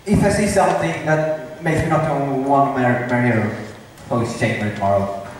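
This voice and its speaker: A man playing a doubtful young nobleman on an amateur stage, delivering simplified Shakespeare haltingly. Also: medium pitch, big pitch swings, natural voice. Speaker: student actor in drama club play. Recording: echoey hall from far off, faint noise.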